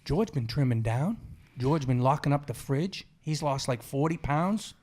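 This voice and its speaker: A man's voice: medium pitch, animated, measured pace, a little mellow. A man in his forties hosting a sports podcast, chatting casually in an opinionated, slangy, storytelling way. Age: 40s. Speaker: podcast host.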